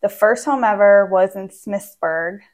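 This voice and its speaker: A woman's voice: medium pitch, unhurried, darkish tone. A young woman from Maine, a real estate agent answering interview questions in a casual, chatty way with lots of fillers.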